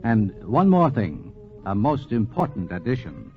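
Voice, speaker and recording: Lively dramatic vintage radio narrator, male. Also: mid-range voice, soft background music. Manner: dramatic, deliberate emphasis. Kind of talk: 1940s radio documentary narration, scripted and dramatic. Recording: dull 1940s radio recording.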